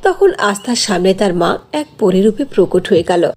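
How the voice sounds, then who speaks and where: A woman's voice: mid-range voice, big pitch swings, fairly quick, darkish tone, breathy edge. A woman narrating a scripted fairy tale as a storyteller.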